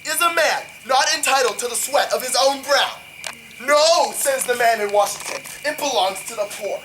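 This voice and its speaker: Man acting as grandiose game tycoon, contemptuous, defiant, emphatic orator, deliberate phrasing, clearly noisy recording. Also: very high-pitched, pushed and bright. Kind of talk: dramatic video game monologue.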